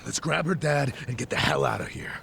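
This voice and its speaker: A man's voice: fairly high pitch, huge pitch swings, fairly quick, vocal fry, a bit bright, slight background noise, exaggerated voice. A man playing a tough, terse, plain-spoken ally of a gangster hero in a game cutscene. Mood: urgent. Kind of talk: dramatic game cutscene voice acting.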